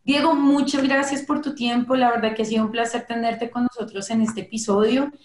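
A woman's voice: a little high, fairly even intonation, a little fast, slight background noise. A woman hosting a business podcast interview, talking casually and often restarting her phrases, who says her Spanish has slipped because of Portuguese. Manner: warm-hearted, repeats herself, restarts phrases. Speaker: podcast host. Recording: echoey room.

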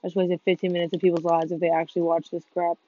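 A teenage girl co-hosting a casual gaming podcast with friends, talking fast, swearing and running herself down, with excited outbursts. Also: lowish voice. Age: teens. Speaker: podcast co-host. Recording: muffled dull sound, faint music behind.

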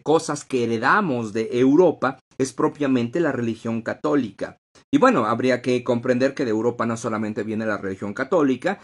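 A man hosting a live-streamed history talk, lecturing conversationally with rhetorical questions and frequent fillers. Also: mid-range voice.

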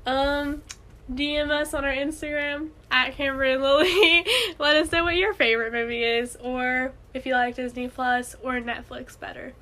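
A young woman co-hosts a casual podcast. Her voice is high and bright, and she talks in a loose, informal way, peppering her unscripted sentences with "like". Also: fairly even intonation, normal speed. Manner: informal, frequent "like" hedging. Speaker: podcast co-host.

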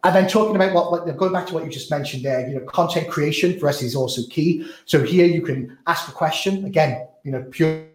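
A man giving a software product demo, talking quickly and filling his sentences with "you know". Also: on the high side, reverberant. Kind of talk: screen-share product walkthrough.

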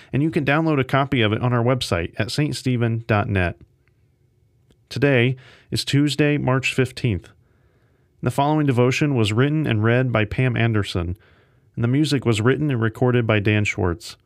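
A man's voice: mid-range voice, no room sound. Male podcast host, dry close sound. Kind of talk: scripted podcast introduction.

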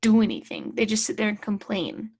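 A young woman co-hosting a casual chat, chiming in with quick, relaxed remarks. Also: mid-range voice, husky. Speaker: podcast co-host.

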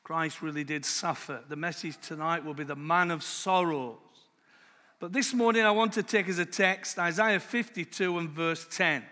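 A man preaching a sermon in a loud voice, slowing down to read scripture with emphasis. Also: fairly high pitch, steady tone, in a large hall.

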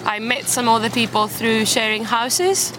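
Young woman from Spain, British accent, relaxed guest chat, outdoor park recording with traffic noise. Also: on the high side, unhurried. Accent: British English. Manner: chatty, laughs easily, self-deprecating. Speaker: interview guest. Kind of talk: casual chat.

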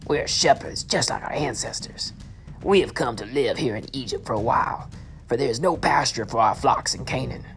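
A man's voice: mid-range voice, expressive, vocal fry, fairly bright tone, soft background music. A man voicing humble shepherd brothers speaking deferentially to a king. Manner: slow and deferential.

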